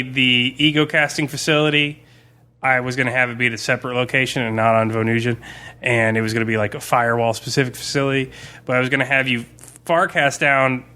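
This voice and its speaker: A man's voice: mid-range voice, sharp tone. A man co-hosting a podcast, chatting casually and informally as a game master about a tabletop campaign.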